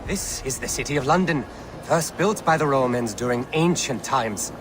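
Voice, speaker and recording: A man's voice: medium pitch, animated, moderate pace, noisy background, natural voice. An actor playing a deluded, proud old man in a video game, rambling and grandiose. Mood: grandly welcoming. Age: older. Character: deluded old Saxon man, proud, fretful, wandering-witted. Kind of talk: video game cutscene acting.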